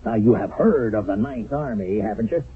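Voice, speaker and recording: An actor playing a stern, skeptical wartime army captain in a radio drama, commanding, clipped and sardonic. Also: male voice, lowish voice, expressive, normal speed, natural voice. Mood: angry, sardonic. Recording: narrow, phone-like vintage radio broadcast.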